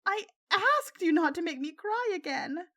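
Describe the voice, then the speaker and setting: A woman voicing an anxious, tender-hearted teenage girl with a soft, earnest, emotional way of speaking in a narrated story. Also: very high-pitched, expressive, measured pace, pitched above the performer's own voice, heavily acted. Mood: tearful.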